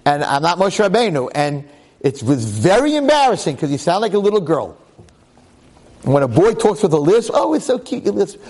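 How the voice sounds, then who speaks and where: A man leads a Torah class with a raised voice full of wide, swooping pitch. He talks in an emphatic, dramatic way, piling up rhetorical questions and repeated phrases as a practiced public speaker. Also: big pitch swings, moderate pace, a little mellow. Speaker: Torah lecturer.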